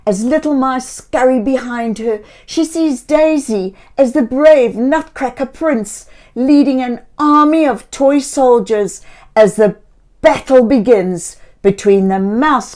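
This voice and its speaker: Slow, expressive woman reading a children's story. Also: fairly high pitch. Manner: theatrical, with hushed whispers and exclamations; addresses young listeners with gentle questions. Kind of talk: expressive picture-book read-aloud for young children.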